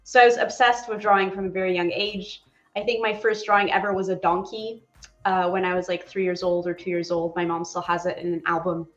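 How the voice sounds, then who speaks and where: A woman's voice: fairly low pitch, brisk, lo-fi sound. Chatty woman on an echoey call. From Poland. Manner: chatty and animated, with laughs and many fillers. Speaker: animator guest. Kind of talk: casual interview chat.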